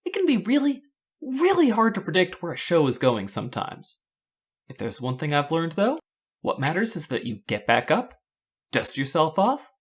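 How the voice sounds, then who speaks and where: A woman's voice: low voice, slightly rough. A woman narrating scripted fan analysis as a video host, with a wry, rhetorical delivery.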